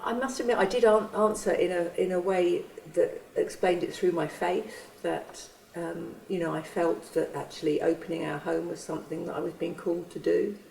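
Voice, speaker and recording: Earnest woman giving testimony; gravelly, mellow, recorded distant in a reverberant space. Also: fairly low pitch, steady tone. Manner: earnest, halting with restarts. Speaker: church member and refugee host. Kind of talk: reflective testimony. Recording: roomy, from a distance.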